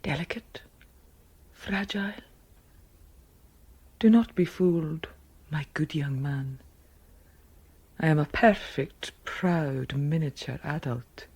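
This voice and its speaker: An actress playing a proud young gentlewoman's sardonic inner voice in an audio drama, breathy and half-whispered, deliberate and intimate. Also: deep voice, no echo, exaggerated voice. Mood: proud, guarded. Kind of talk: audio drama interior monologue.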